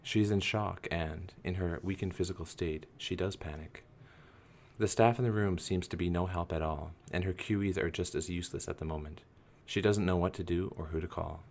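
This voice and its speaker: Low-voiced man narrating a radio play. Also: fairly even intonation, normal speed, creaky, darkish tone.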